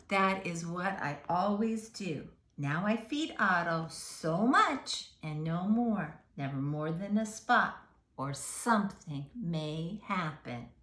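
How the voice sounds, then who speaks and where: A woman's voice: lowish voice, a bit bright, reverberant. A woman reading a picture book aloud to children, expressively, with questions and asides to her young listeners.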